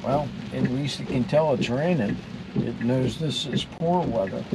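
An older man speaks at an ordinary pitch in a relaxed, matter-of-fact way, with a wry edge. He is recorded inside a moving car by a camera mounted on the ceiling. Heavy rain and road noise drum loudly behind him. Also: unhurried, vocal fry. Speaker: channel host testing a self-driving car. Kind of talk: casual running commentary while driving. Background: rain drumming on the car.

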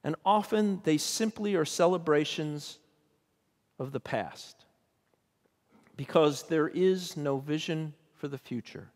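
An older man, a bishop, preaching a sermon from a pulpit in a church sanctuary, measured and questioning in tone. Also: a little high, a little mellow. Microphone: pulpit microphone. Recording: long church reverb.

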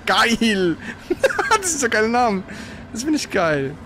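High-pitched man gaming, noisy background. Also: big pitch swings, measured pace. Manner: exclamatory, swearing, grumbling. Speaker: gaming streamer playing and commenting. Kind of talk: casual live gameplay commentary with a co-player.

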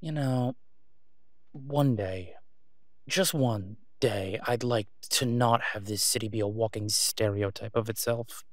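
A man's voice: lowish voice, takes it slowly, dry recording, clean studio sound. A man playing a sardonic, exasperated but protective vigilante antihero in an audio roleplay, with a weary, clipped manner. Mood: weary, muttering. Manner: sarcastic, weary, clipped, emphatic when irritated. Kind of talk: solo audio roleplay acting, conversational.

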